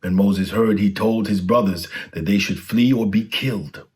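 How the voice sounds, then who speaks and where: A man's voice: fairly low pitch, normal speed, darkish tone. A man reading a children's storybook aloud as a scripted narration.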